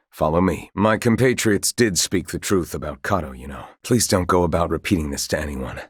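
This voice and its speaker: A noble knight officer from a fantasy video game, a duke's son with confidence and good humor. His pitch sits somewhat low but moves in lively, expressive swings. He chooses formal, archaic words, and the recording is dry and studio-clean. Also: no room sound. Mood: wry, courteous, then grave, measured. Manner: formal, archaic, courtly phrasing. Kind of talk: video game character dialogue.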